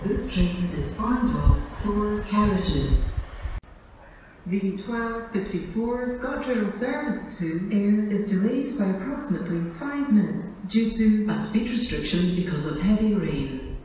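A woman's voice: medium pitch, lively intonation, a little slow, mellow, lo-fi sound. A woman making automated train announcements over a station's public-address system in formal, clipped, segmented phrases. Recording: distant, very echoey, noisy station PA recording.

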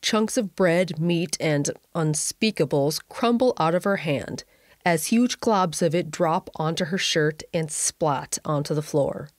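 A woman's voice: sits low, dry recording, clean studio sound. A woman reading a scripted sci-fi story as narrator, with wry, conversational asides. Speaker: narrator and writer of a sci-fi audio series.

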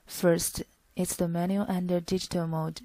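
A woman's voice: on the low side, flat delivery, moderate pace, mellow, no echo. A woman reading a scripted product tutorial in a stilted, phrase-by-phrase way. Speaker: product demonstrator.

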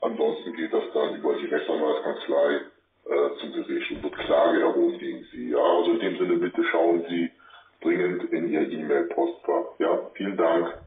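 A man's voice: fairly even intonation, moderate pace. A man delivering a formal debt collection message over the phone. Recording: boomy echoing phone line, distant.

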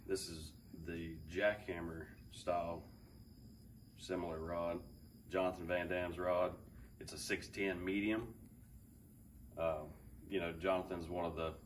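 A man in his thirties with a low voice talks through gear in a relaxed, eager way, with plenty of fillers. He is picked up by a camera several feet off in a roomy open garage. A faint hiss of ambience sits under him. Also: recorded from a distance. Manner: relaxed, enthusiastic, thinks aloud with fillers. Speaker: fishing channel host. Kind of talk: casual product review. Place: large open garage with a boat. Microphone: camera several feet away.

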